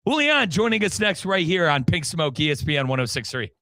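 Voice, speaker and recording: A man hosting a sports talk radio show in an excitable, chummy, informal manner. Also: on the high side, brisk.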